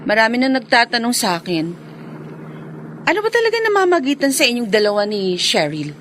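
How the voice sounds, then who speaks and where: A woman's voice: fairly high pitch, sing-song, fairly quick, a bit bright, slight background noise. An actress playing a suspicious, jealous woman who needles her partner accusingly in a Filipino radio drama.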